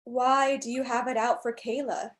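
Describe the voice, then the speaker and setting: A woman playing a firm, stern doctor who leads group therapy in a staged play reading, questioning in a measured way. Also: a little high, steady tone, natural voice.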